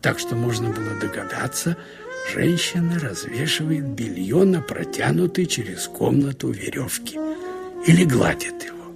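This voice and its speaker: A man narrating a short story in a conversational, wry way, with expressive asides, like a radio audiobook reader. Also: mid-range voice, moderate pace, gravelly, music in the background. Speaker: narrator reading literary prose.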